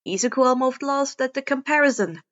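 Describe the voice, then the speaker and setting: A woman's voice: sits high, expressive, fairly quick, fairly bright tone. A woman reading scripted story narration as an amateur audio fiction narrator, on a slightly dull recording. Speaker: podfic narrator.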